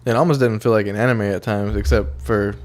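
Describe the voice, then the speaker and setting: A man's voice: lowish voice, steady tone, fairly quick, darkish tone. A young man chatting casually as a podcast co-host, loose and profane, full of "like" and restarts. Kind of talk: casual chat.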